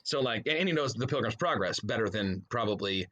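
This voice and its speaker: A man's voice: fairly low pitch, lively intonation, fairly quick, fairly bright tone. A man talking casually as a guest in a podcast interview, rambling and often interrupting himself with asides. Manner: rambling, self-interrupting storyteller with frequent trailing asides.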